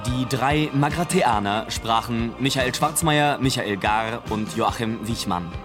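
A man's voice: mid-range voice, a little slow. A man announcing for a radio drama, reading scripted credits. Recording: music under the voice.

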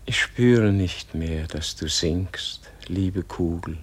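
Husky, very slow dying old sage. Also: male voice, low voice, heavily acted. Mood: gentle, wondering. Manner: slow, halting, solemn. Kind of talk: radio play acting.

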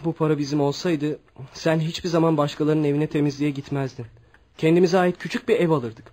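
An actor playing a poor schoolboy son in a radio play, excitable and wistful. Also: mid-range voice, brisk, lightly acted. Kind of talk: Turkish radio theatre acting.

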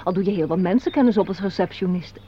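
An actress playing an excitable, fussy receptionist who chatters and exclaims theatrically in a radio play. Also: female voice, lowish voice, quick pace, breathy edge. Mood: fussing, teasing. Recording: dull, noisy old radio recording.

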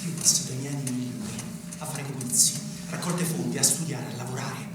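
An actor playing a bitter, self-righteous provincial politician and older brother on stage, scornful and accusing with pointed rhetorical questions. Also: male voice, mid-range voice, steady tone, fast talker, lightly acted. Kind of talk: theatrical stage acting. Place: stage of a theatre. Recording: echoey theatre, distant mic, noisy.

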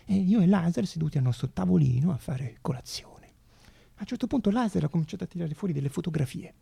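A man performing a theatrical monologue as a storyteller, with a raspy, gravelly voice and dramatic delivery full of deliberate pauses. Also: a little high, expressive, mellow. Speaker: stage actor and storyteller.

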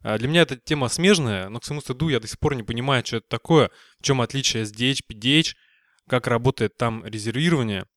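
A man's voice: medium pitch, quick pace, a bit bright, no room sound, clean studio sound. A man hosting a podcast in a casual, chatty style. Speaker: podcast host.

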